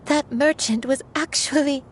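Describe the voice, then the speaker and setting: High-voiced sorrowful crane spirit woman. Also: fairly even intonation, unhurried, a little hiss or hum. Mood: dawning disbelief. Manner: earnest, confessional, careful wording. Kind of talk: video game dramatic dialogue.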